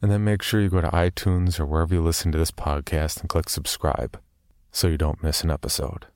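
A man's voice: extremely low pitch, flat delivery, brisk, a little mellow, dry recording, studio quality. A man reading scripted announcements as a podcast host.

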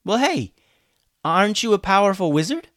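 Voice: medium pitch, big pitch swings, moderate pace, fairly bright tone, no echo, studio quality, voice pushed up. A storyteller voicing an eager, earnest young boy on an adventure in a children's story.

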